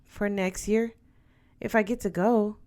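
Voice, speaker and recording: A woman's voice: medium pitch, steady tone, unhurried, a little mellow, natural voice. Woman reading as an earnest teenage schoolgirl. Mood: earnest, hesitant. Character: earnest, questioning teenage schoolgirl, polite goddaughter.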